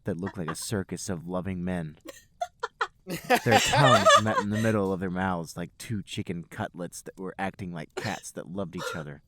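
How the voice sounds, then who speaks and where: A man's voice: low-pitched, wildly swooping pitch, moderate pace, fairly bright tone, no room sound. A man, a podcast host, reading fan fiction aloud as a storybook-like narration.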